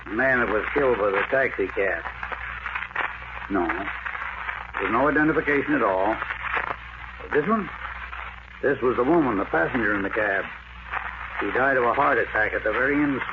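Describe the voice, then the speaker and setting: An actor playing a matter-of-fact morgue attendant in a 1940s radio drama, explaining in a measured way. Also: male voice, medium pitch, lightly acted. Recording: scratchy, tinny old radio recording, heavy noise.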